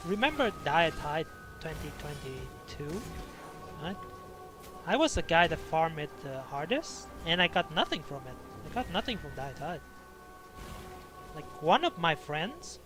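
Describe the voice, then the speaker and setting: Higher-pitched man chatting over game music. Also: sing-song, a little slow. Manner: rambling, repeats himself, casual swearing. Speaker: game streamer. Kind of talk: casual chat while gaming.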